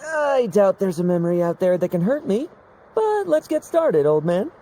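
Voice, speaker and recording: A woman's voice: medium pitch, sing-song, normal speed, dark tone. A woman voicing a young, cocky female vice captain of the knights, speaking in a brash, taunting way.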